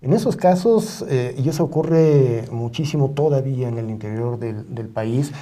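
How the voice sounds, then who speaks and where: A middle-aged man with a Mexican accent, speaking as an expert guest in a studio discussion in a deliberate, halting, measured way. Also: medium pitch, warm tone. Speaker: media ombudsman and journalist, panel guest. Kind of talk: reflective studio interview discussion.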